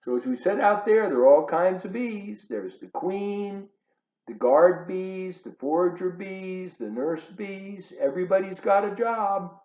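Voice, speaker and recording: Slow-paced man reading to kids, lively pitch. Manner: playful, addresses children directly with questions. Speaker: volunteer children's book reader. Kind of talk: read-aloud of a picture book for young children, with asides. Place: living room at home. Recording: roomy, slightly dull home video sound.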